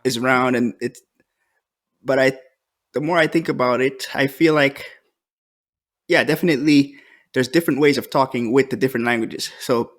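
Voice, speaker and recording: A man co-hosting a podcast in casual chat, relaxed and thinking aloud with many fillers. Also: medium pitch, moderate pace, long pauses. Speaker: podcast co-host.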